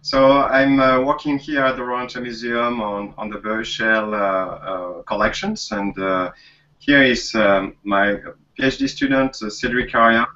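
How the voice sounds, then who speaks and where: A male museum curator talking casually on a video chat, halting with many fillers. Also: medium pitch, steady tone, bright, forceful tone, recorded from a distance, muffled recording. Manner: halting, frequent "uh".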